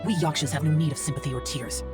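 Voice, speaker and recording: A low, restrained voice over game music: an aloof immortal demon hunter voiced by a woman. He is solemn and formal, choosing terse words as a stoic game character would. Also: fast, natural voice. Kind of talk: video game dialogue. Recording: music behind the voice.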